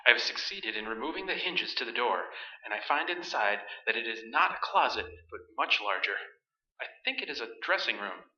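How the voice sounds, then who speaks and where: A man acts out a solemn doctor in a radio ghost drama. He reports with clinical precision in a medium-pitched, very tense, bright voice. The stage recording is echoey and a little dull. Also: very animated, fairly quick. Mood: intent, solemn. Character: earnest, formal male doctor investigating the supernatural. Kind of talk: staged radio play acting. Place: large hall stage, staged performance.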